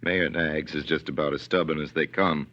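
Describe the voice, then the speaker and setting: Casting: male narrator, deep and forceful, folksy measured delivery, vintage 1940s radio drama. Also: fairly even intonation. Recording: dull 1940s radio broadcast.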